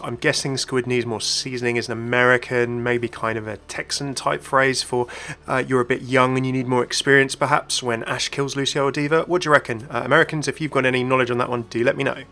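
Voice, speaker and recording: A man's voice: medium pitch, fairly even intonation, fast, pushed and bright. A man presenting a chatty, inquisitive explainer as a gaming video host, scripted with casual asides. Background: faint background music.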